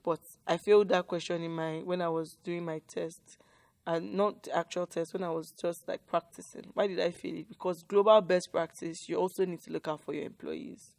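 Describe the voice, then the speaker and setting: Nigerian woman, HR co-host, lowish voice, close dry sound, explains points emphatically in casual conversation. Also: fairly even intonation, moderate pace, gravelly, darkish tone, no echo. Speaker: HR professional and talk co-host.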